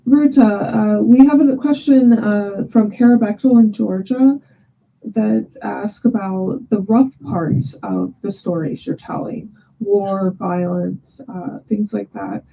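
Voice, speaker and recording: A very dark, gravelly woman's voice moderates a webinar over dull, muffled audio. She is the host, passing on audience questions in hesitant phrases. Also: medium pitch, fairly even intonation, takes it slowly.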